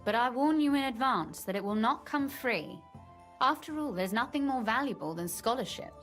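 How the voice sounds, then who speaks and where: Bookish noblewoman, articulate, playful self-assurance, slightly raised pitch, video game drama. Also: expressive, normal speed, fairly bright tone, soft background music. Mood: playful, haughty. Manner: articulate, teasing, slightly haughty. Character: witty, learned, self-assured young noblewoman. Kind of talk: video game dialogue acting.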